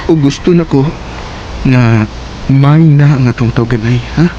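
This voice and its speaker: Actor doing an earnest cebuano ex-boyfriend, soft mellow voice, coaxing, slightly muffled noisy radio drama. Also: male voice, medium pitch, measured pace, close to an everyday voice. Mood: ardent, coaxing. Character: Edon, pleading ex-boyfriend, ardent smooth-talking suitor.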